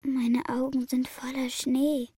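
High, soft-toned young girl. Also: little pitch movement, takes it slowly, slightly rough, no room sound, clean studio sound, heavily acted. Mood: dazed, weak. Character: young girl, brave, devoted granddaughter. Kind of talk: radio play acting.

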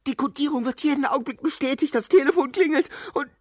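An actor doing a squeaky, very high tiny alien. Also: fast, dry recording, clean studio sound, natural voice. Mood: upset, frantic. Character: tiny male alien visitor, anxious, pleading, excitable. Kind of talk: children's radio play acting.

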